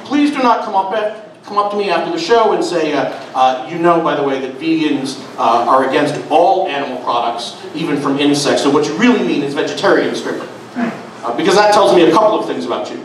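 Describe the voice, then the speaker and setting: A middle-aged man performing a sardonic stand-up comedy set on a small club stage, with deadpan asides and lots of "uh" fillers. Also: fairly high pitch, brisk, a little hiss or hum. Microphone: handheld mic, filmed from the back of the room. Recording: echoey club audio from far off. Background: audience laughter.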